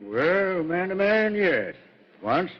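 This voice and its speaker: A man playing a wry, fatherly older dad in a 1950s radio comedy, measured and dryly amused. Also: sits high, very animated, full character voice. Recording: very noisy, muffled old radio recording.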